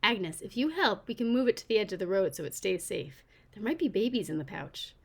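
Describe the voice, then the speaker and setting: A woman acts out a seventy-year-old neighbor lady from a children's storybook. She is calm and practical, explaining things matter-of-factly, with lively rises and falls in pitch. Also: brisk, creaky. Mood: earnest.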